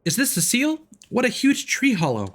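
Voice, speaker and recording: A woman voicing a small, excitable, childlike fairy companion in a video game, squeaky and eager. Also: normal speed. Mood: curious, eager. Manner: exclamatory, eager.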